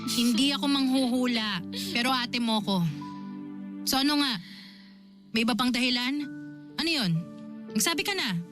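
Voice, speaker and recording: A woman's voice: on the high side, moderate pace, pauses often, close to an everyday voice. A woman acting as an exasperated older sister in a Filipino radio drama, emotional and scolding as she confronts a wayward sibling. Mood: pressing, stern. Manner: emotional, scolding, insistent questioning. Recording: dramatic music bed behind.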